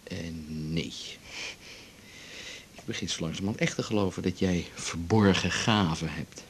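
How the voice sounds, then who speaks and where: An actor playing a teasing, easygoing father-to-be in a radio drama, with a husky voice. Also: low-pitched, measured pace, slight background noise. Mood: relaxed, reassuring. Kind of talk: naturalistic radio drama dialogue.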